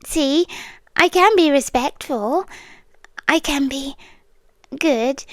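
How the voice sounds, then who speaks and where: A British woman voicing a young, obsessive yandere girl in an intimate ASMR roleplay, sweetly unsettling and lovestruck, speaking softly and hesitantly and drifting into whispers. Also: high-pitched, slow, no echo, clean studio sound. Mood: giddy, confessional. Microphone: close microphone, dry.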